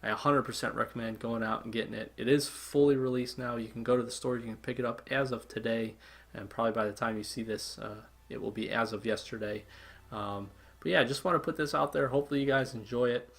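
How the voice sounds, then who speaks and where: A man's voice: medium pitch, fairly even intonation, quick pace, vocal fry, a bit bright. A man hosting a gaming channel, giving a chatty, enthusiastic casual intro with lots of fillers.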